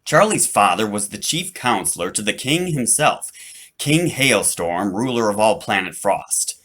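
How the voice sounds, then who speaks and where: Male author-narrator for a storybook: slightly low voice, very animated swings in pitch, dramatic emphasis. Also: a little slow, husky. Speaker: author reading his own book.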